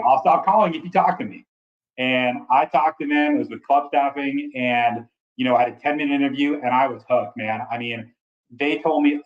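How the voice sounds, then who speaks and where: A man in his forties with a big voice, telling his story with energetic enthusiasm in a casual interview. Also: mid-range voice, dull audio. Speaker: travel nurse recruiter.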